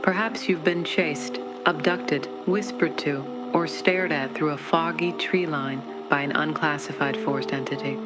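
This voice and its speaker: Earnest spokeswoman, played by an actress, low reassuring voice, spoof advert read over loud music. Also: steady tone, a little slow, few pauses. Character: earnest female spokesperson for monster survivors' support group. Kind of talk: parody commercial voice-over.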